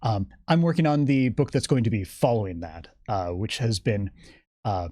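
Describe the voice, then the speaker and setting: A man's voice: on the low side, moderate pace, warm tone, no room sound, clean studio sound. A man speaking as an interview guest, explaining his work as a game developer in a casual, enthusiastic way.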